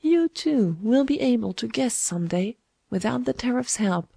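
A woman's voice: fairly high pitch, lively intonation, unhurried, vocal fry, warm tone, husky, dry recording, voice pushed up, exaggerated voice. A woman voicing a sly, domineering old woman schemer in a storybook, with a taunting, wheedling manner. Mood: imperious, coaxing. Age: older.

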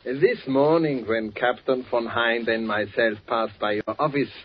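An actor playing a genial, businesslike factory boss in a 1940s radio drama. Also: male voice, medium pitch, unhurried, slight background noise, natural voice. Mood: hearty, businesslike. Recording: muffled vintage 1940s radio recording.

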